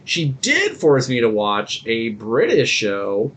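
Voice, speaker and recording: A man's voice: mid-range voice, wildly swooping pitch, takes it slowly, fairly bright tone. A man co-hosting a podcast in casual chat, telling stories in an irreverent, digressive way with some swearing. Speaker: podcast co-host.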